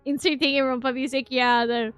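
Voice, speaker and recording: A woman streamer reacting casually and playfully to a game, excitable and joking with her chat. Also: sits high, fairly quick, clean tone. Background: game music.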